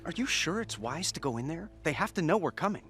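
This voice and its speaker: A man's voice: on the high side, sing-song, fast talker, fairly bright tone, soft background music. An actor playing an eager, curious teenage boy sidekick in a video game, youthful and earnest, in a reverberant space. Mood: worried. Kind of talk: video game cutscene acting.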